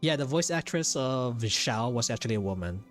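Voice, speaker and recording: A man's voice: medium pitch, animated, normal speed, faint music behind. Chatty Filipino-accented man streaming a game. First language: Tagalog. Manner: joking, chatty, hesitant. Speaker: streamer playing and reacting to a game. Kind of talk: casual game commentary.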